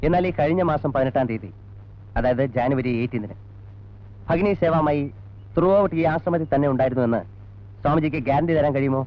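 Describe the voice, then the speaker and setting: An actor playing a brisk, insistent police detective in a 1980s film drama, probing in a businesslike way. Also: male voice, fairly high pitch, lightly acted. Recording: dull old film soundtrack.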